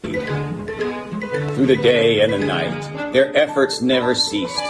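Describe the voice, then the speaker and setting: A man's voice: mid-range voice, takes it slowly. A man reciting a rhyming Christmas poem as a scripted message in a played video clip. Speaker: company executive. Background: loud guitar music.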